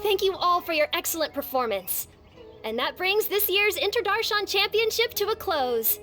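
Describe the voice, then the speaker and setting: An actress playing a gracious ceremony announcer in a video game, speaking formally and ceremonially. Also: female voice, very high-pitched, expressive, moderate pace, sharp tone, faint music behind, full character voice. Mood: gracious, proud. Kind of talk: English video game voice acting.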